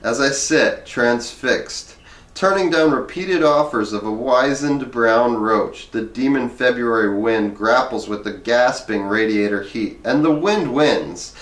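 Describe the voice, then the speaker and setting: Guy reading a memoir out loud, wry and chatty, mid pitch, roomy echo. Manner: wry, conversational. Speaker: reader of a memoir. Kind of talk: reading aloud with casual asides. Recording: roomy, echoing room sound.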